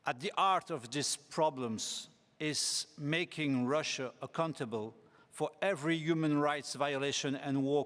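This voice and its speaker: Measured man in a reverberant hall. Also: fairly high pitch, fairly bright tone. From Belgium. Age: 40s. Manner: measured, deliberate, with hesitant fillers. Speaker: parliamentarian candidate for rapporteur. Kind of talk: formal committee speech. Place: assembly conference hall. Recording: long reverb of a large hall.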